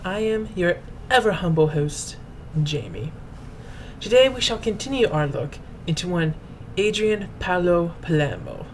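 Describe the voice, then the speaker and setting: A woman's voice: fairly low pitch, lively intonation, unhurried, a little mellow, a little hiss or hum. A woman host reading aloud as a dramatic narrator, in a pompous, mock-grand and formal manner. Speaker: host and reader. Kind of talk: theatrical dramatic reading of fan fiction.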